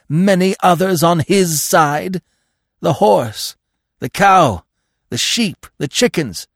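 A man voicing a fanatically devoted storybook dog, yelping loudly with servile fervour and defiance. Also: creaky, slightly rough, no room sound, clean studio sound, heavily acted. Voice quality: high, loud, yelping. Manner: frenzied, fervent declamation.

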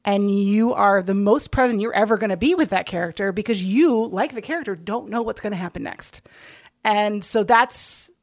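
A woman's voice: medium pitch, normal speed, no room sound, clean studio sound. A woman chatting casually as an interview guest, enthusiastic and emphatic, repeating herself for stress. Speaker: guest, organizer of a play-reading group; actor. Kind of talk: casual podcast-style interview chat.

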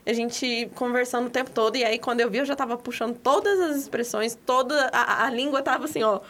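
A woman's voice: a little high, fast talker, fairly bright tone. A woman from Minas Gerais with a Minas Gerais accent, chatting casually and reflectively among friends. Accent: Minas Gerais Brazilian Portuguese. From Minas Gerais, Brazil. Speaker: conversation participant.

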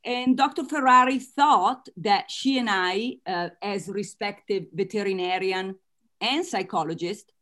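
A woman's voice: medium pitch, unhurried, sharp tone. A woman with an Italian accent, answering interview questions earnestly and laughing lightly between points. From Italy. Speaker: guest author.

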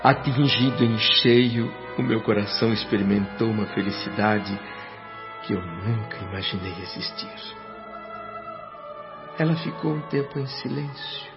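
A man's voice: lowish voice, close to an everyday voice. An actor with a Brazilian accent playing the anguished spirit of a dead man, a devoted son, in an emotional, plaintive radio drama style. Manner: slow, emotional, plaintive delivery. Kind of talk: melodramatic radio drama acting and narration. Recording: muffled radio drama with music behind.

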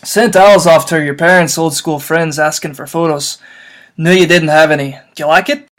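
A man voicing a big, sobbing, bearded male giant, loud and rough, while reading a book aloud with a friend. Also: on the high side, normal speed, natural voice. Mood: gruff warm. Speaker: co-reader. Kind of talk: casual read-aloud.

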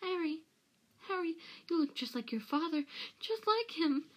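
Woman putting on a high, whining, cowering man. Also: expressive, unhurried. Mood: wheedling, desperate. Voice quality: whining, gasping. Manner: wheedling, tearful, stammering pleas. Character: cowering, pleading, terrified middle-aged man, whining traitor.

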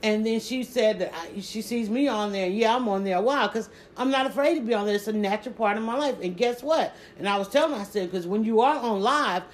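A woman with a Southern accent chatting casually on a live stream, talking quickly and restarting her sentences often. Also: a little high, a little hiss or hum. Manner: rapid, stumbling restarts, animated emphasis. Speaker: social media creator.

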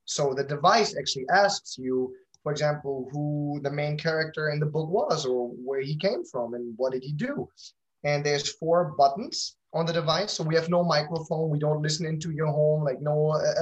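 Higher-pitched man, casual interview guest. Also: rough recording. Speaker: interview guest, company founder.

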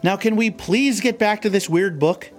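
A man's voice: sits high, moderate pace, close to an everyday voice. A man playing an opinionated, impatient sci-fi geek in a comedic audio drama, dry and brusque. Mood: annoyed. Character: know-it-all male Star Wars geek, impatient. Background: music.

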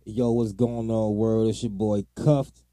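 A man's voice: fairly low pitch, little pitch movement, unhurried, dark tone, soft background music. A man co-hosting a podcast, chatting casually about true crime in informal, slangy language. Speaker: podcast co-host.

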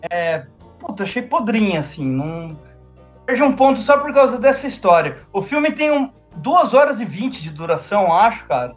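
Guy on a podcast, high voice, slangy and loose, kinda dull lo-fi sound. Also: sing-song, normal speed, soft background music. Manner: slangy, loose, laughs often. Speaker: podcast co-host. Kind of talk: casual film review chat.

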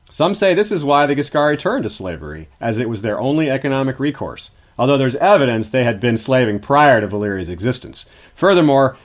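A man speaking as a guest history expert, giving scripted, articulate explanations in an informative lecturing manner. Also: medium pitch.

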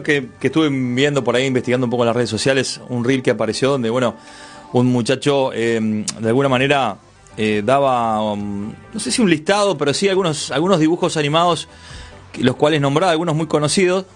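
A man's voice: mid-range voice, a little fast. A man with a Uruguayan accent presenting a radio segment in a chatty, rambling way, circling back on his phrases. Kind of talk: casual radio chat. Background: music in the background.

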